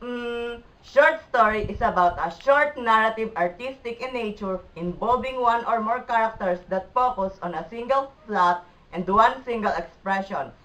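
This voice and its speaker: A woman's voice: on the high side, normal speed, fairly bright tone, recorded from a distance, natural voice, low-quality recording. A woman playing a nerdy schoolboy who recites textbook answers formally in an amateur puppet show. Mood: studious.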